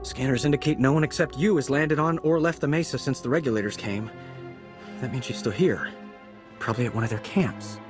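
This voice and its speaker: An actor playing an excitable, earnest young accountant turned fighter in a video game, eager and breathless in manner. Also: male voice, mid-range voice, brisk, over music, natural voice. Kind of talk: video game cutscene acting.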